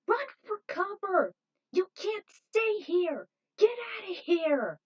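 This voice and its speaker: Woman acting urgent, high-pitched female pilot yelling orders. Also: very animated, takes it slowly, dull audio, heavily acted. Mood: urgent shouting. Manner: urgent, commanding shouts. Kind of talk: dramatized fan fiction reading.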